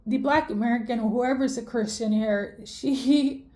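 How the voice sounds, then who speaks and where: A woman's voice: a little high, moderate pace, darkish tone. A young woman from Sweden giving a casual spiritual reading, rambling with many fillers and self-corrections. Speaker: tarot reader.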